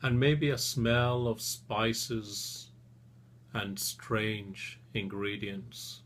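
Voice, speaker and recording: A man leading a guided relaxation session as a therapist, speaking soothingly and gently in short phrases with long pauses. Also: lowish voice, steady tone, a little hiss or hum.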